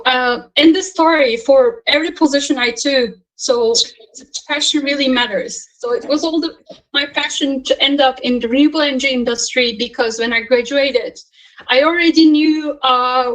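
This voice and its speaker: A woman's voice: sits high, moderate pace, rough recording. A woman in her thirties from Turkey, speaking on a panel over a video call and telling her career story earnestly, with many fillers and restarts. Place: home or office in Istanbul.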